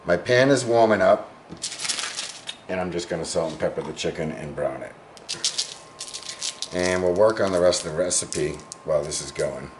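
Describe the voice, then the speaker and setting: A man hosting a home cooking demonstration in his kitchen, explaining each step in a relaxed, chatty way with small asides. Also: low-pitched, moderate pace, long pauses. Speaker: home cooking show host. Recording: clear background kitchen noise. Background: clattering utensils and dishes.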